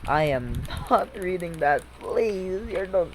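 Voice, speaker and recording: Gamer from the Philippines, crude jokey commentary, lots of swearing, lively pitch, noisy hiss. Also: takes it slowly. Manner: crude, joking, swears often, reacts loudly. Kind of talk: let's-play commentary.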